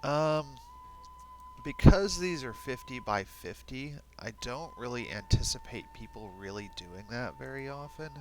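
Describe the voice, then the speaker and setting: Rambling man thinking aloud over soft music. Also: mid-range voice, huge pitch swings, unhurried. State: sniffly. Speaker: streamer making battle maps. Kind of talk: casual live-stream talk to himself. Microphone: desk microphone. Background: quiet background music.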